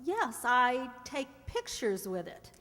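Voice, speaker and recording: A woman's voice: high-pitched, very animated, slow, sharp tone, exaggerated voice. A woman playing a guarded, wry press photographer in a radio play. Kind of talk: radio play acting of a 1940s screwball comedy. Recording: reverberant hall stage recording.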